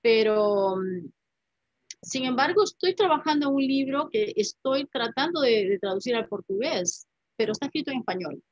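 A woman's voice: fairly high pitch, lively intonation, normal speed, dry recording. Reflective poet woman on a dull video call. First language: Spanish. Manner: thoughtful, reflective, many "¿no?" tags. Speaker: poet and writer, guest. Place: home room with paintings. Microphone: laptop webcam mic on video call.

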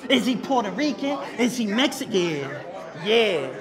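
A man's voice: sits high, sing-song, unhurried, crowd noise. A man in his thirties performing battle rap a cappella on a club stage, taunting his opponent and repeating his lines, with Spanish slang mixed in. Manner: aggressive, taunting, repeats and shouts his bars. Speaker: battle rapper. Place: indoor club stage with a live crowd.

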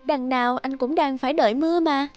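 Actress as a very high-voiced, sly fox maiden. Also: female voice, steady tone, fairly quick, highs cut off, faint music behind, lightly acted. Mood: sweetly coaxing. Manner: coaxing, melodramatic. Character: sly fox demon posing as sweet young maiden. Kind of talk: children's fairy-tale cartoon dubbing.

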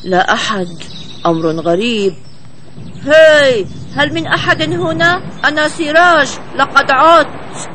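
A friendly young male cartoon bird with a high voice. He is puzzled yet caring, exclaims expressively and speaks clearly over faint background noise. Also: measured pace, long pauses, pushed and bright, heavily acted. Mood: cheerful, curious. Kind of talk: Arabic children's cartoon dubbing.

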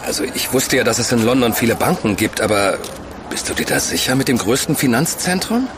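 A man's voice: medium pitch, sing-song, fairly quick, background noise, close to an everyday voice. An actor playing a curious, sceptical young man in casual radio-drama dialogue. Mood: doubtful, curious. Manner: questioning, casual. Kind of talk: naturalistic audio-drama dialogue.

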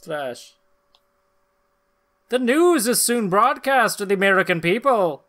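A man narrates an old comic book for a podcast. His voice is bright and forceful. He reads theatrically and sounds amused, dropping into casual chat. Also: high voice, very animated, takes it slowly. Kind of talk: dramatic comic-book reading with casual banter. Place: small room at home, video call.

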